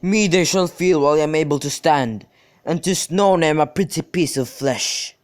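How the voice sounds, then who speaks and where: A man acting as a boastful young servant in a student radio play. His voice sits fairly high for a male, and he talks crudely, with plenty of swagger. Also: normal speed, no room sound, clean studio sound, close to an everyday voice. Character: Sampson, bragging, crude young male servant itching to brawl.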